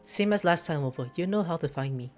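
A man's voice: a little high, expressive, quick pace, faint music behind. A man streaming a game, reading dialogue aloud and making casual jokey comments. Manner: reads game text aloud, jokey asides. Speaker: gameplay streamer. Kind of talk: casual let's-play commentary.

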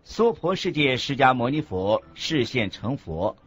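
A man playing a Buddhist monk teacher in a scripted radio lecture, husky, expressive and didactic. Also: mid-range voice, measured pace. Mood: earnest teaching. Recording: dull lo-fi recording with faint music.

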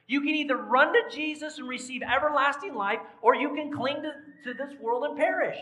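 A man in his forties preaching a sermon in a large church, tired after a recent cold, swinging from soft asides to loud emphatic lines. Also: extremely high pitch, brisk. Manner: animated, pacing the stage with sweeping gestures. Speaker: pastor. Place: large church sanctuary, indoors.

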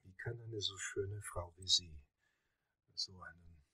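Casting: wry, reluctant investigator, lowish husky voice, radio crime drama. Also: male voice, fairly even intonation, a little slow, sharp tone, no echo, full character voice. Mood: polite, tentative.

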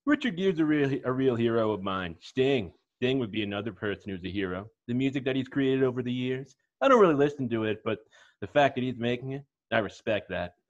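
A man plays a self-assured, airheaded male model in a comedy table read. He rattles along quickly at a middling pitch, laid-back in manner, through slightly muffled video call audio. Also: expressive, lightly acted. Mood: earnest, breezy.

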